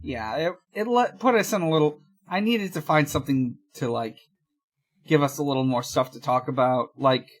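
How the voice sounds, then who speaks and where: A podcast host talking casually in a halting, hesitant way, often pausing and trailing off. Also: mid-range voice. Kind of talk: casual podcast discussion and recap.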